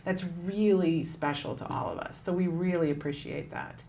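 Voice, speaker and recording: A woman hosting an interview, speaking in an emphatic, expressive way. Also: fairly low pitch, normal speed. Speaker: host.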